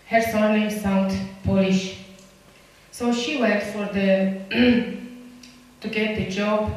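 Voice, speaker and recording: A woman whose first language is Romani, giving earnest personal testimony to a group in halting English with many pauses and restarts. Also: medium pitch, darkish tone, a little hiss or hum. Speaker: community speaker. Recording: echoey room, voice from far off.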